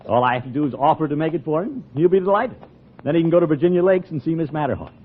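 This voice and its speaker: An actor playing a vain, easily flustered middle-aged uncle in old radio comedy, with a blustery manner. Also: male voice, fairly high pitch, very fast, slight background noise, natural voice. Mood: self-assured. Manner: blustery, hesitant when cornered, chuckling. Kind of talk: 1940s radio comedy acting. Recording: very muffled old radio recording.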